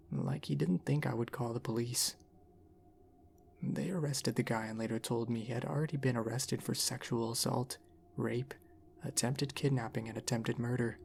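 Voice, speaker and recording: A man narrating a story in an ASMR style, reading in a measured, unhurried way. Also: medium pitch, creaky, darkish tone, a little hiss or hum.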